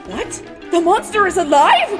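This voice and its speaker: A woman's voice: extremely high pitch, very animated, measured pace, music in the background, heavily acted. A woman voicing a clingy, melodramatic young female chief in a fan radio play.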